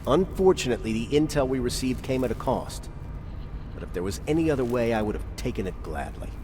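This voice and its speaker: An actor playing a grave, resolute military commander in a video game, speaking in a deliberate, earnest, authoritative way. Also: male voice, medium pitch, lively intonation, noisy background, natural voice. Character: grave, resolute male resistance commander briefing soldiers. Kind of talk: dramatic cutscene dialogue.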